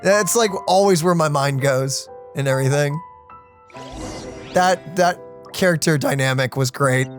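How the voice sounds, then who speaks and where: A woman giving casual, animated and rambling reaction commentary as she plays a game. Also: low-pitched, a little slow, soft background music. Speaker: let's play streamer.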